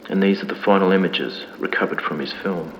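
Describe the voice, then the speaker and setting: Deep, echoing lo-fi actor as archival documentary narrator. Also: male voice, natural voice. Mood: solemn, grave. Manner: formal, measured, grave. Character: solemn male 1950s archival documentary narrator reporting a mystery. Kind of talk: scripted mock-documentary narration for analog horror. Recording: dull lo-fi, long reverb, clear background noise.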